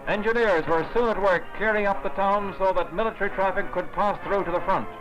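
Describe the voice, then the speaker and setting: A man's voice: high voice, brisk, lo-fi sound. A man narrating a scripted wartime newsreel from the 1940s in a formal, declamatory manner, on an old soundtrack. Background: loud music.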